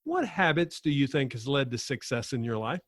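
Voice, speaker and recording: A man's voice: mid-range voice, animated, moderate pace, fairly bright tone, no echo, studio quality. A man hosting an interview podcast, asking loose, enthusiastic questions. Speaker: podcast host. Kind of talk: conversational interview.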